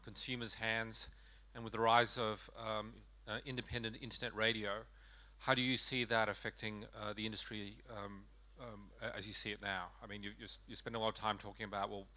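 A young man in a conference audience asking a question on a handheld mic, halting with many um fillers. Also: on the low side, sharp tone. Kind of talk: question from the floor. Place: large conference hall audience.

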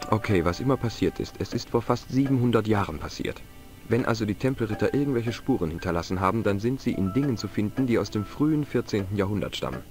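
A young American investigator from an adventure game, courteous and curious with a dry wit. His voice sits fairly low, and soft music plays behind it. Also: lively intonation, quick pace. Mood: curious, polite. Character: polite, curious, wry young American man investigating mysteries. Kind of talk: video game dialogue and wry narration.

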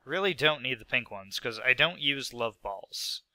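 Young man with a head cold, rambling and self-mocking game commentary, bright smooth voice, dry close mic. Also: medium pitch, animated, a little slow, no echo. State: sick with a stuffy nose. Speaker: gaming video host. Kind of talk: casual gameplay commentary.

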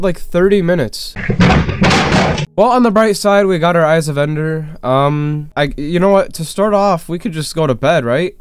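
A man with a slightly congested voice, talking excitably through his gameplay and breaking into quick, frustrated outbursts. His voice sits fairly high yet stays smooth and clear, recorded close with no room echo. Faint game music plays underneath. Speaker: gaming YouTuber. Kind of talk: casual gameplay commentary.

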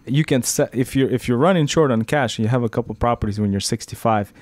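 A man in his thirties chatting in a relaxed, easygoing way on a podcast about property, recorded on a boom mic in a small studio. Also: fast talker. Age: 30s. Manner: relaxed, easygoing, lots of agreeing. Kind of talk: casual podcast chat. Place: small studio with brick wall. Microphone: boom mic on arm.

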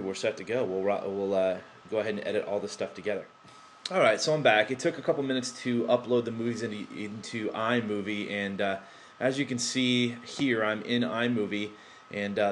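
A man's voice: lowish voice, a little hiss or hum. A man talking through a computer tutorial into his laptop's built-in microphone, rambling with many fillers and restarts. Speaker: tutorial presenter. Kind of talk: unscripted screen-recording tutorial.

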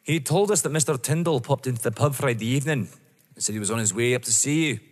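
An actor playing a polite, persistent police detective in an audio drama, deferential yet probing. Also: male voice, mid-range voice, quick pace, natural voice. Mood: insistent, polite. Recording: reverberant hall sound.

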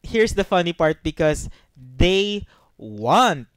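A young man co-hosting a casual podcast, talking quickly and laughing as he thinks out loud, into a handheld mic in a small padded room. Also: high voice, big pitch swings, no room sound. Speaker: podcast co-host. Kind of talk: casual chat. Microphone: handheld mic with headphones.

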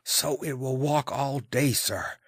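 A man's voice: medium pitch, takes it slowly, fairly bright tone, slightly rough, no room sound, clean studio sound, voice pushed up, heavily acted. A man voicing an anxious, superstitious Victorian butler in an audiobook, hesitant and respectful. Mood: anxious, hushed. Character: anxious, deferential Victorian male butler, plain-spoken and superstitious.

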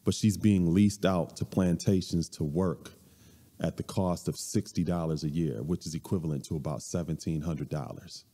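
Deep, dark-voiced man in a reverberant hall. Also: fairly even intonation. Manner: conversational, measured talk with frequent ums. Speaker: guest speaker. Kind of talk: lecture at a church service. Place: large church hall, indoors. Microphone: stand mic at a few steps, far camera.

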